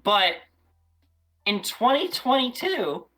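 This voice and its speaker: Woman, podcast guest, animated, bright tone, lively pitch. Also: slow, faint music behind. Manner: animated, chatty, restarts often. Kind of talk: casual podcast chat.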